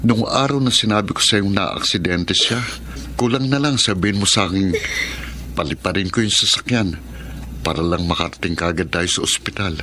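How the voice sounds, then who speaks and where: Radio drama: man as calm, deep-voiced comforting friend, gentle and reflective. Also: normal speed, slight background noise. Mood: reassuring.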